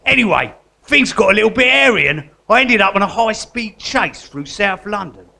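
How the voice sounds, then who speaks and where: An actor playing a matey, sentimental guerrilla artist convict in a live comedy radio drama. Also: male voice, high-pitched, animated, moderate pace, vocal fry, pushed and bright, heavily acted. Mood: matey, amused. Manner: matey, colloquial, earnest.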